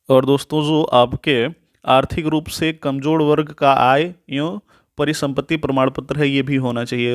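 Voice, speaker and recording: An adult man with a mid-pitched voice works through a tutorial point by point. He reads each item out, then explains it informally in an instructive way, often adding a quick check-in tag. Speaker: tutorial presenter.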